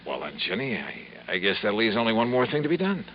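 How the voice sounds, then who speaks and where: A man's voice: lowish voice, very animated, fairly quick, dull audio, a little hiss or hum. A man playing a laconic, world-weary insurance investigator in a 1950s radio drama. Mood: wry. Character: hard-boiled male insurance investigator. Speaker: lead actor.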